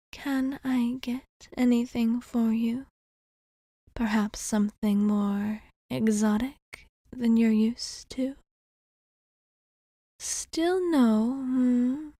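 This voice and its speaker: A woman playing a courteous, fond, centuries-old vampire bartender in an ASMR roleplay, speaking formally and softly, often in a breathy whisper, with lingering pauses. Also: on the high side, no echo, studio quality, heavily acted. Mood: fond, playful.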